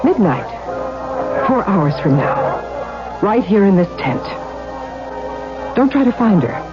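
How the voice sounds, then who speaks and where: A woman's voice: medium pitch, big pitch swings, slow. A woman playing a defiant grown daughter at a carnival in a radio drama, sardonic and mock-polite. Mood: firm, defiant. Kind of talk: 1970s radio drama acting. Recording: muffled 1970s radio recording with music.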